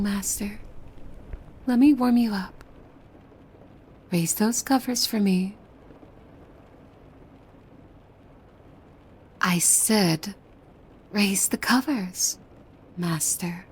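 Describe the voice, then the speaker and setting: A woman playing a possessive demon maid in an ASMR roleplay, devoted and softly menacing, with hushed formal speech that often slips into breathy whispers. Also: medium pitch, takes it slowly, pauses often, a little hiss or hum, lightly acted. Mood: possessive, intimate.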